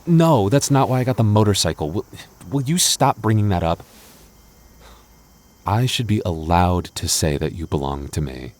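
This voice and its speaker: A man playing a clingy, jealous young boyfriend in an intimate roleplay audio, talking casually and haltingly, prone to stammering when flustered. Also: low-pitched, a little mellow.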